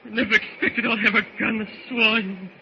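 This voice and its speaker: A man's voice: high-pitched, flat delivery, normal speed, gravelly, sharp tone, full character voice. A man playing a vicious dying sailor who gloats in gasping, broken phrases, in old radio serial style. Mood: dying, gloating. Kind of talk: 1940s radio serial acting. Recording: dull, hissy old radio recording.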